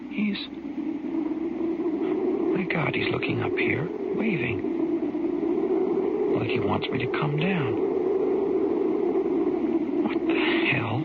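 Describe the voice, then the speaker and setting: An actor playing an uneasy, stubbornly curious husband in a horror audio drama, muttering in a hushed, halting way. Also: male voice, on the high side, creaky, full character voice. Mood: uneasy, amazed. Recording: muffled, lo-fi, heavy background noise.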